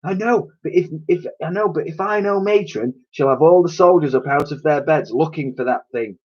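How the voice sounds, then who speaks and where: A man's voice: fairly high pitch, animated, moderate pace, dull audio, voice pushed up. A man voicing a cautious, agreeable male helper in a children's storybook. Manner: hushed warnings, agreeable.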